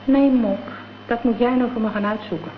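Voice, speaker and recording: A woman's voice: on the high side, moderate pace, close to an everyday voice. An actress playing a gangster's tough, vengeful girlfriend in a radio drama, talking in blunt, scornful slang. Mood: conspiratorial, scornful. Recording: dull, noisy old radio play recording.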